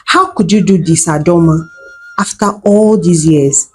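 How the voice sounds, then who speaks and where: A woman's voice: fairly low pitch, unhurried, faint music behind, natural voice. A woman voicing a betrayed, angry village husband with an accusing, wounded manner in a folktale.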